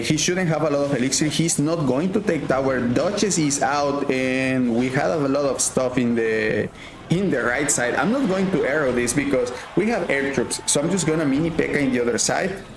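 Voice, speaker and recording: A man's voice: mid-range voice, animated, normal speed, reverberant, background noise. A man from Mexico with a Mexican Spanish accent, casually commentating his own gameplay and thinking aloud with frequent fillers. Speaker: gaming YouTuber.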